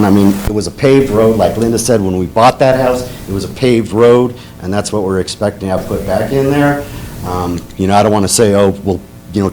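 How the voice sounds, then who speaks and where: A man's voice: fairly low pitch, a little mellow, slight background noise, rough recording. A man speaking at a town council meeting as a resident, frustrated and increasingly insistent. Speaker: resident speaking at public comment.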